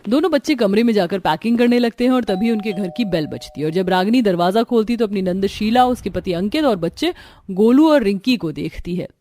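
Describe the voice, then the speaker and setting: A woman narrating a scripted animated family drama as a storyteller. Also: mid-range voice, brisk.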